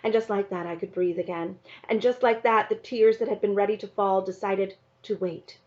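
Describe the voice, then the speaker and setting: A woman reading a children's novel aloud in an expressive narrating style. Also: medium pitch, moderate pace. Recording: slightly dull, lo-fi sound.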